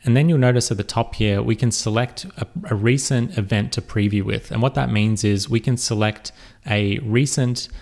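A man giving a relaxed step-by-step software tutorial over a screen recording, with frequent fillers. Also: fairly low pitch, normal speed, gravelly.

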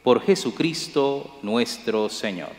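A middle-aged priest celebrating Mass at the altar, reciting liturgical prayers in a measured, solemn way. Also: male voice, medium pitch, lively intonation, darkish tone, soft background music. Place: large church sanctuary, at the altar. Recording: echoey church with long reverb.

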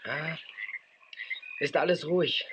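A man's voice: on the high side, takes it slowly. A man playing a cautious, protective young man on safari in a 1980s children's radio play. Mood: calm. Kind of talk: 1980s German children's audio drama acting. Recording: noisy old cassette radio play.

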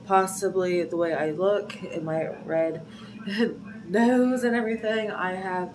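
A woman's voice: mid-range voice, steady tone, slow, far from the mic, noisy background. Stuffy-nosed woman chatting to her phone. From Ohio. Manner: chatty, rambling with many fillers. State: congested from a cold. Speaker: vlogger. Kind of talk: casual vlog to camera. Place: small home pantry, indoors. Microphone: phone camera at arm's length.

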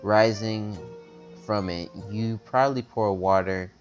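A man casually reading a story game's text aloud and chatting, stumbling over longer words, with soft game music behind him. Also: fairly low pitch, slow pace, highs cut off. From America. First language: not English. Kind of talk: casual let's-play reading and chat.

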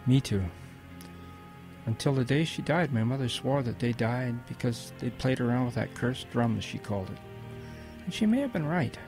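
A man playing a wistful old grandfather in an audio drama, telling his wartime boyhood in a gentle, reflective way with a husky voice. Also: medium pitch, lively intonation, moderate pace, over music, natural voice. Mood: somber.

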